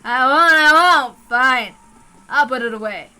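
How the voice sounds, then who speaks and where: Woman putting on a forceful, folksy gunman thug. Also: high voice, a little slow, clear, resonant tone, heavily acted, poor audio. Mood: gruff reassurance. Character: menacing gunman thug with rough folksy speech.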